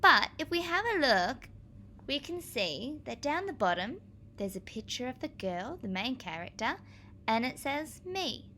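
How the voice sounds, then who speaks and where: A woman teacher reading aloud to young children, explaining as she goes in a clear, expressive manner. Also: sits high, a little slow, a little hiss or hum.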